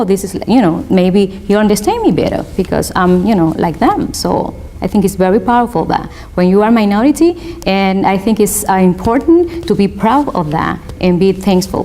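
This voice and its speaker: A woman's voice: medium pitch, mellow. Earnest Spanish-accented woman. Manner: earnest and motivational, halting non-native phrasing. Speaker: law student. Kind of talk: interview, personal testimony.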